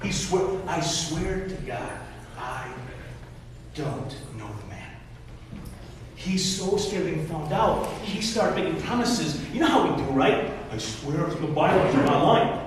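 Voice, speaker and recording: A man in his forties preaches with a high, lively voice that leaps around as he acts out each person in the story. He sounds distant from the mic, his words ringing through a large, reverberant hall with a faint hiss underneath. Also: measured pace. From Michigan. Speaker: pastor. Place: church hall. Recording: echoey hall, voice from far off, faint noise.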